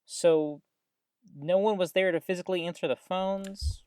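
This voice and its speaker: An actress playing a defensive, grudging radio technician and co-host in an audio drama, with a casual, dry, reluctant way of talking. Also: female voice, on the low side, fairly even intonation, unhurried, a little mellow, clean tone, no echo, lightly acted. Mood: reasoning, dry. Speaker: radio show co-host and board operator.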